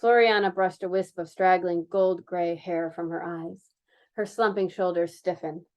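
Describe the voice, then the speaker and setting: A woman reading a mystery novel aloud in an animated, theatrical way, breaking in with amused asides. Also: fairly low pitch, measured pace. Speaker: reader of a children's mystery novel.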